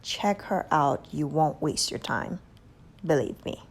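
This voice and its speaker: A woman with a Haitian accent hosts a casual vlog, giving gushing shout-outs at a slow, unhurried pace. Her voice sits a little low. She stretches her emphasis and drops into soft whispery asides. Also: animated, slight background noise. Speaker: beauty channel host.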